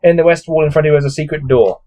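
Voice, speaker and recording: A man with a mellow, relaxed tone pitched a little above average reads a gamebook aloud. He slips between narration and casual commentary, sounding bored and exasperated as he grumbles along. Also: very fast. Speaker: host reading a gamebook aloud.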